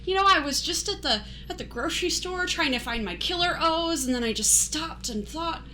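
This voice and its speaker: A woman's voice: sits high, animated, moderate pace, bright, forceful tone. A woman playing a timid, aimless thirty-something drifter, stammering and apologetic, in an improvised comedy radio play. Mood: earnest, searching. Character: timid, aimless thirty-five-year-old wanderer named Fred. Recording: light background noise.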